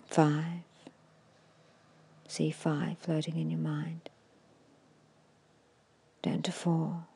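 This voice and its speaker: A woman giving an ASMR guided hypnosis in a hushed, breathy whisper, slowly and soothingly instructing with long pauses. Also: low voice, monotone. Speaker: hypnotist guiding a meditation.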